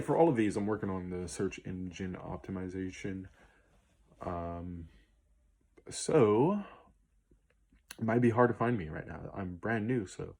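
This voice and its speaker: A man's voice: deep voice, a little mellow, breathy edge. A man in his late twenties, a musician answering viewer questions in a casual, rambling vlog with lots of ums. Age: late 20s. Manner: easygoing, rambling with many ums and spelled-out names. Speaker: musician vlogger.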